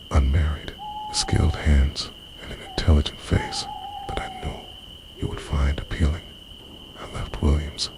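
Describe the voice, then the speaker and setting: A man playing an obsessive, centuries-old lover in an intimate ASMR roleplay, half-whispering in a breathy, confessional way, tender and possessive. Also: rumbling low, full character voice. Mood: possessive, intense. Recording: noisy, owls and insects behind.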